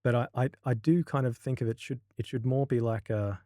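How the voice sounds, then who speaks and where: A man's voice: medium pitch, fairly even intonation, a little fast, dark tone, no room sound, clean studio sound. An Australian man, a university lecturer, talking as a guest in a casual podcast interview, hesitant and full of restarts. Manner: hesitant, many restarts and fillers.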